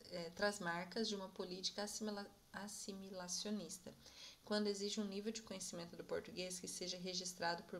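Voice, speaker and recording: A young woman giving an academic conference presentation, explaining in a measured way and often checking in with her listeners. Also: on the low side, creaky, fairly bright tone, slight background noise.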